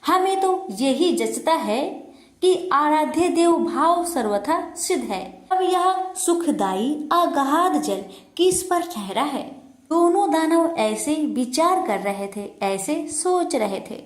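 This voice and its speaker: Very high-pitched woman in an echoey room. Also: expressive, slow. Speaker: devotional storyteller. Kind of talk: mythological story narration.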